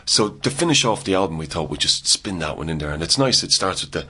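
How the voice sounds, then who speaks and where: A man with an Irish accent telling a folk tale with dramatic pauses, then chatting as a guest. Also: low voice, animated, bright, forceful tone, breathy edge. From Ireland. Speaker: storyteller. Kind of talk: storytelling and interview chat.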